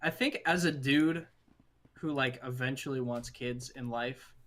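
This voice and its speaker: A young man co-hosting a casual discussion podcast. His voice sits at a middle pitch, and he talks in an earnest, explanatory way, as someone making a case to friends. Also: a little slow, a bit bright. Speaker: podcast co-host. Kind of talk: casual group film discussion.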